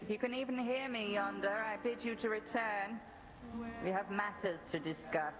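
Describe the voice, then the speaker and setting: A woman voicing a stern, commanding witch headmistress in a video game, speaking slowly and imperiously. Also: mid-range voice, natural voice, poor audio. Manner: imperious, deliberate. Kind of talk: scripted video game dialogue. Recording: music behind the voice.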